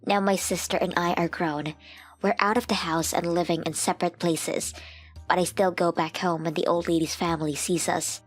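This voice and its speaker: A woman's voice: lowish voice, fairly even intonation, moderate pace, natural voice. A woman narrating a scripted first-person story as a grown woman soberly looking back on a family memory. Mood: sober, reflective. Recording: music under the voice.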